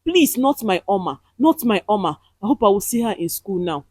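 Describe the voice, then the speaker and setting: A woman's voice: medium pitch, lively intonation, moderate pace, a little mellow, slightly rough, no echo, clean studio sound. A woman storyteller voicing a frightened, distraught mother who pleads for her daughter in a dramatic tale. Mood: pleading, grieving.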